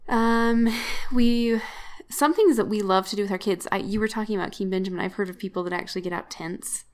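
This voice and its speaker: A woman in her thirties co-hosting a scripture podcast, chatty and upbeat, and quick to laugh. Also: medium pitch, darkish tone. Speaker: podcast co-host. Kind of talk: casual chat.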